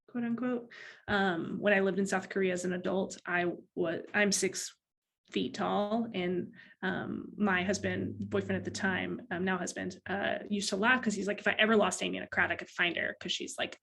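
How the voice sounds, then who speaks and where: A woman's voice: mid-range voice, gravelly, a bit bright. A woman speaking on a panel in a candid, conversational way, with frequent fillers. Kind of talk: panel discussion.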